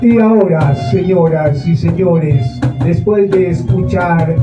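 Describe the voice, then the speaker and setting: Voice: medium pitch, takes it slowly, dull audio, music in the background. A stage performer addressing a live audience in a theatrical announcing style. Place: small theatre workshop, recorded from afar. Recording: echoey, boxy live theatre recording.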